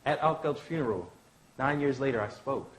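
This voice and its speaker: A man reads as an idealistic lawyer and orator in a staged drama. The voice sits at a medium pitch, and the delivery is earnest and declamatory, shaped like formal public speech. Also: normal speed, natural voice, rough recording. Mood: solemn, eulogizing. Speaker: professor reading a play. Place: indoor launch event venue.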